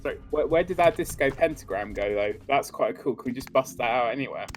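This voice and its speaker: A man's voice: mid-range voice, animated, fast talker. Dryly joking man, casual game banter over music. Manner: dry joking, swears casually. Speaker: gaming video co-host.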